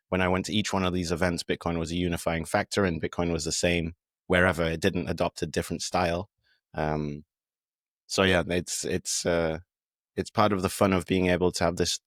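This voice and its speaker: A man's voice: low-pitched, no echo, clean studio sound. A man from the United Kingdom, a podcast guest, talking in a reflective, casual way with fillers and restarts. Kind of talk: casual conversation.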